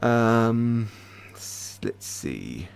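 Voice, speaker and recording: A British man hosting a casual livestream, chatting hesitantly with many pauses and restarts. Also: mid-range voice, sing-song, vocal fry, clear, resonant tone. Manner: hesitant, lots of ums and restarts, friendly. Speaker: ASMR channel host.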